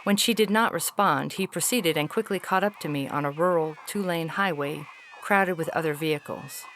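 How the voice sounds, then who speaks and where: A woman's voice: deep voice, moderate pace, fairly bright tone, a little hiss or hum. A woman playing a formal alien agent in audio fiction, reporting on humans in stiff, clinical, precise phrasing with a faintly weary, homesick temper. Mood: clinical, disapproving. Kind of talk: scripted audio fiction reading.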